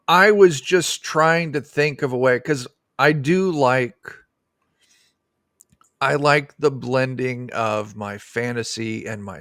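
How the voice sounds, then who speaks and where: A man's voice: medium pitch, a bit bright, no echo. A man in his thirties or forties, a game designer, explaining casually as an interview guest into a close microphone. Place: indoor room, video call. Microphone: close desk microphone.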